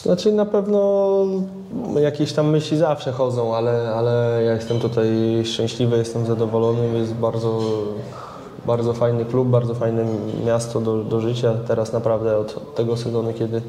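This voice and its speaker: Young guy, goalkeeper type, monotone answers, keeps restarting words, roomy echo. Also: medium pitch, moderate pace, slight background noise. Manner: hesitant, repeats words while searching. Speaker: football goalkeeper. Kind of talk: casual interview answers. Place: club media room, indoors. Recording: echoey room.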